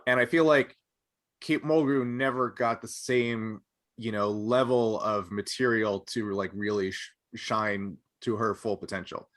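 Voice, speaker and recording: A man's voice: on the low side, fairly even intonation, unhurried, fairly bright tone. A man co-hosting a podcast, chatting casually and giving opinionated, nerdy takes with hesitant, wandering phrasing. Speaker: podcast co-host. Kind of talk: casual panel chat about a sci-fi show.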